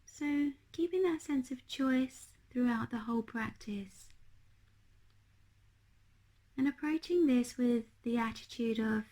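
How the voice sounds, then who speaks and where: A woman leading a guided meditation as a teacher, speaking in a gentle, unhurried, hushed manner. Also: fairly high pitch.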